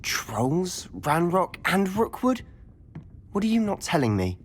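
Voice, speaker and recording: A young actor playing a cautious, curious wizard schoolboy in a game, brisk and concerned. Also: male voice, high-pitched, sing-song, slight background noise, exaggerated voice. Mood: anxious curious.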